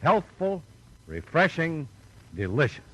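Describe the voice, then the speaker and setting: An American man announcing in the polished, measured, emphatic style of 1950s radio commercials and credits. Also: a little high, big pitch swings, fairly bright tone, low-quality recording. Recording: old radio broadcast with faint music.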